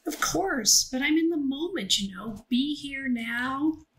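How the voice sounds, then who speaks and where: A woman's voice: high voice, lively intonation, takes it slowly, a bit bright, heavily acted. A woman playing a brash, impatient young woman in audiobook dialogue, casual and streetwise. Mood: breezy, unruffled.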